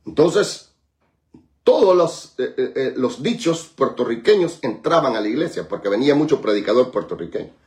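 A man preaching a sermon, calling on the congregation to repeat after him and slowing right down to stress scripture. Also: medium pitch, lively intonation, darkish tone. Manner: preaching with call-and-response, dramatic slowed emphasis on scripture. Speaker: pastor.

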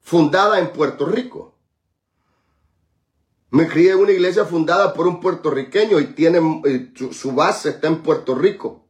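A man preaching a sermon, calling on the congregation to repeat after him and slowing right down to stress scripture. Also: fairly high pitch. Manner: preaching with call-and-response, dramatic slowed emphasis on scripture. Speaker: pastor.

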